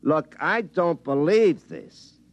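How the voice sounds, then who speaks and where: A man acting in a vintage radio comedy as an excitable, folksy young telephone linesman who narrates his own story, stammering and muttering to himself. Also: on the high side, slow, no echo. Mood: startled, suspicious. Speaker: actor in a radio play. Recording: vintage radio recording with music.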